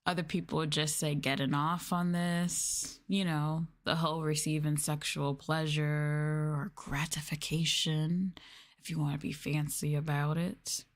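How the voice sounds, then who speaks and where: A woman streamer speaks in an ASMR roleplay style, addressing her listeners directly. Her voice sits a little low for a woman, and she takes her time with each phrase. She is condescending and mocking, with a sultry, taunting edge. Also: level tone, a little slow. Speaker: streamer, VTuber host. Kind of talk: teasing ASMR roleplay to live chat.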